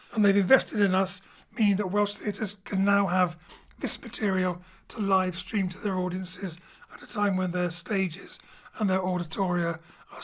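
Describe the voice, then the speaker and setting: A man hosts as a theatre director, introducing an audio drama from a home studio. He explains things in an informal, spoken way, like a presenter addressing listeners directly. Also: high-pitched. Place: home studio, remote link.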